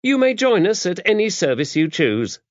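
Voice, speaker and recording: A man voicing a gruff, stern, worldly-wise older nun with a brusque manner, in an audiobook. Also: high voice, very animated, normal speed, no room sound, highs cut off, voice pushed up. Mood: brisk, drilled. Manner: stern, brusque, matter-of-fact. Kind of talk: audiobook character dialogue.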